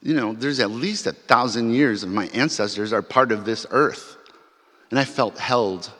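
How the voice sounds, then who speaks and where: A man's voice: mid-range voice, sharp tone. Man telling a story in a reverberant chapel. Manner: conversational, with many restarts and fillers. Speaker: symposium speaker. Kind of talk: storytelling talk to an audience. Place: large chapel. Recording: long hall reverb.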